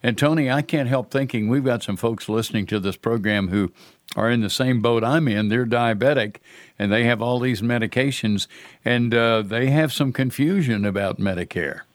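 A man's voice: mid-range voice, moderate pace, no echo, studio quality. An older man hosting a talk radio show, earnest and folksy in a conversational way.

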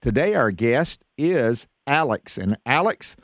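A man with a mid-pitched voice works as the host of an interview program. His delivery is that of a radio presenter, recorded very dry with no room sound. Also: big pitch swings, slow, dry recording. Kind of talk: radio interview show hosting.

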